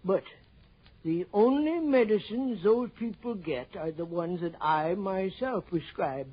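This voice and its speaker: An actor playing a polite, unruffled small-town doctor in a 1960s radio drama, precise and slightly fussy. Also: male voice, high voice, lively intonation, unhurried, slightly rough, exaggerated voice. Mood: soothing, grave. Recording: muffled, hissy vintage radio recording.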